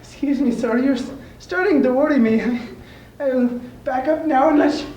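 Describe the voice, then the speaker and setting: A woman's voice: high-pitched, normal speed, warm tone, far from the mic, highs cut off, close to an everyday voice. A woman playing a timid little Irish leprechaun man in a stage comedy sketch, hesitant, polite and stammering. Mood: worried, hesitant. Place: live stage before an audience. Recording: echoey stage with background noise.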